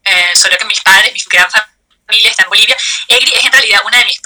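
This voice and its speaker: A woman from Venezuela, an entrepreneur, answering interview questions in a soft, hesitant way, searching for words. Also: mid-range voice, fast talker, low-quality recording. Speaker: guest entrepreneur.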